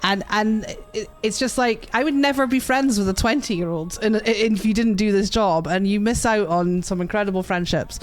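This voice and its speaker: A woman, hosting a casual podcast chat, talkative and quick to laugh. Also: medium pitch. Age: 40s. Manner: chatty, laughs often, swears casually. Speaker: podcast host and streamer.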